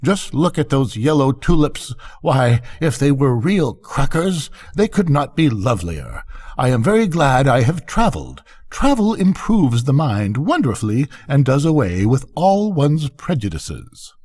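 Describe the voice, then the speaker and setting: A small talking firework from a storybook, put on by an older man. It is self-satisfied and earnest, lifted above his natural pitch, with a lively lilt. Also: a little slow. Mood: delighted, smug. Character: small, well-traveled, cheerfully self-satisfied firework squib.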